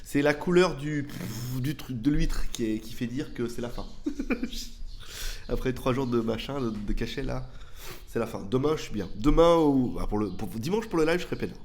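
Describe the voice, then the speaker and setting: A man's voice: medium pitch, expressive, moderate pace, darkish tone, a little hiss or hum. A man hosting a tech channel, chatting loosely through viewer questions with a head cold, rambling and slangy. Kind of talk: casual off-the-cuff chat.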